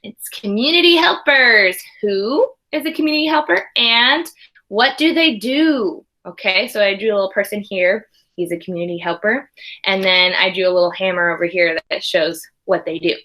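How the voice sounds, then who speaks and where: A woman's voice: medium pitch, normal speed, bright, forceful tone. A woman teaching young children, reading a storybook aloud expressively and chatting to them in conversational asides. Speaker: early primary school teacher.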